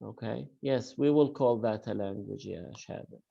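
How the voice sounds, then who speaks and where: A man's voice: fairly low pitch, moderate pace, vocal fry, warm tone, lo-fi sound. A man lecturing in an online class, hesitant and full of fillers as he encourages his students. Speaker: university lecturer.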